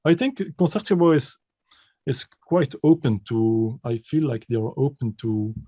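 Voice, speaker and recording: Halting Belgian pianist, mellow voice. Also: male voice, mid-range voice, animated, breathy edge, no echo, studio quality. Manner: hesitant, many repeated words and restarts. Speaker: composer and pianist, interview guest. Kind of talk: casual interview answers.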